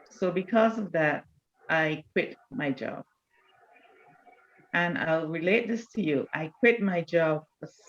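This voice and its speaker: An older woman, reminiscing in a measured, deliberate way as an interview guest. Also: low voice, dull audio. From Barbados. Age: older, around 70. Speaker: church elder, interview guest.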